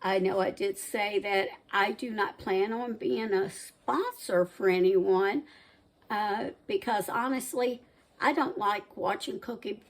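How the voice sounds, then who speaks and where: A woman's voice: mid-range voice, slow. A woman in her sixties from the American South, with a Southern accent mixed with Midwestern, hosting a home cooking channel and rambling chattily between side stories. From Southern United States. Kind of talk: casual chatty cooking vlog talk.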